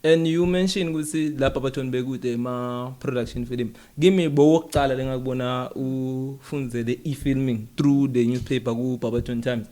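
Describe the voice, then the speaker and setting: A man's voice: mid-range voice, fairly even intonation, fairly quick, few pauses, dark tone. A South African man with a mid-range voice interviewing casually, switching between English and a local language. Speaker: podcast interviewer.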